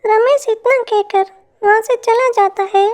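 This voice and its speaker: A woman's voice: very high voice, steady tone, unhurried, a little mellow. A woman narrating a scripted fairy tale for children.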